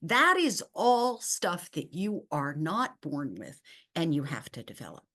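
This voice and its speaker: A low-voiced woman delivers a webinar lecture over a video call, her voice a little hoarse from a cold. She talks in an animated, explanatory way, often repeating words for emphasis. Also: measured pace. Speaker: veterinary behavior professor, guest speaker. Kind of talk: lecture-style webinar presentation.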